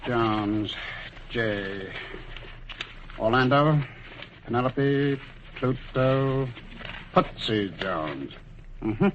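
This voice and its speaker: A man's voice: mid-range voice, expressive, drawn-out words, long pauses, background noise. A man playing an officious, pedantic clerk in a 1940s radio drama. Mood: brisk, fussy. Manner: pedantic, bureaucratic. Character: officious, fussy male clerk of a celestial bureau. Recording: dull vintage 1940s radio recording.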